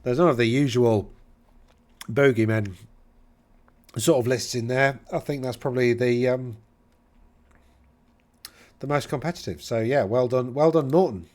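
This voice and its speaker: A man's voice: mid-range voice, measured pace, pauses often, darkish tone. A man from England with a Middle England accent, casually talking through tournament army lists, rambling and often correcting himself. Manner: rambling, self-correcting, chatty asides. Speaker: host reviewing tournament lists. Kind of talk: casual solo commentary.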